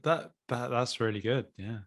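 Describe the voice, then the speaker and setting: A man's voice: medium pitch, normal speed. A man co-hosting a casual language podcast, easygoing and agreeable in short replies. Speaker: podcast co-host and English teacher. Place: London.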